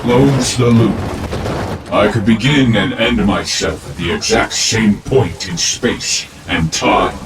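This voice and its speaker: Low, slow, grandiose villain acted by a man. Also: background noise. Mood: menacing. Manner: theatrical, pompous, deliberate. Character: grandiose older male former professor, godlike cosmic villain. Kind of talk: dramatic monologue in audio drama. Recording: roomy, distant echo under loud music.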